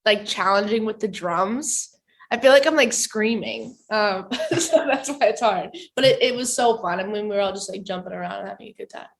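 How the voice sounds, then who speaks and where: Soft raspy young woman, chatty. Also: mid-range voice, normal speed. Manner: chatty, lots of "like" fillers, giggly. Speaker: singer guest. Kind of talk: casual radio interview.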